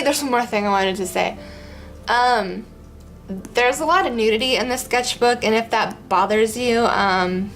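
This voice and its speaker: A young woman chatting casually in her living room as a vlog host, rambling with lots of ums and a voice that is going hoarse. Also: mid-range voice, a bit bright. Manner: rambling, many ums, laughs often. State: losing her voice. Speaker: art vlogger. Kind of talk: casual chat.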